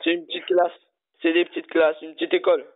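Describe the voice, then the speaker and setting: Pushy male prank caller, halting and stubborn, heard over a tinny phone line. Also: fairly high pitch, monotone. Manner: stubborn, halting, absurd demands.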